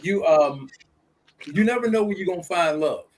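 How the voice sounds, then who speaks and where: A man holds forth in an easygoing discussion. His voice sits high for a man. He circles back and repeats his key phrases with a preacher's rhythm. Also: animated, moderate pace. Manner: preachy, repeats points for emphasis. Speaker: guest speaker. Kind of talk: casual discussion.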